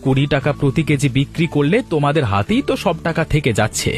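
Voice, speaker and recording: A wily, talkative village haggler from a cartoon, acted by a man. He rattles along quickly with very lively swings in pitch. He sounds brash, and faint music runs underneath. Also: lightly acted. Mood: haggling, impatient. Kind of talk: cartoon storytelling voice acting.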